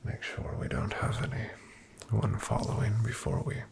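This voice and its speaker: A man in an ASMR roleplay voicing a calm, careful paladin travel companion, softly whispering to the listener. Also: on the low side, measured pace, vocal fry, a little hiss or hum. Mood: calm hushed. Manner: soft, gentle whispering to the listener.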